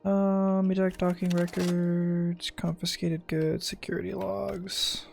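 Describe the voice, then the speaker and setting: A woman with a gravelly voice chatting casually and muttering asides while streaming a video game. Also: on the low side, level tone, slow, a little mellow. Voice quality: heavily creaky, gravelly voice. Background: video game music.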